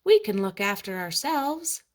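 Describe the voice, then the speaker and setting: A woman's voice: mid-range voice, very animated, unhurried. A woman voicing a plucky young male storybook pig, eager and defiant, in squealing exclamations. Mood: cheerful, confident. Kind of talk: storybook character voice.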